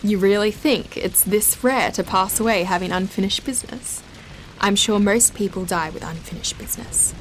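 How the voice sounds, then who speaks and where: Woman acting a calm, enigmatic ghost, mid-pitched. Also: normal speed, faint music behind, natural voice, rough recording. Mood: calm reasoning. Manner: calm, matter-of-fact. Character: Laura, calm enigmatic female ghost, quietly reasoning. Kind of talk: scripted audio drama dialogue.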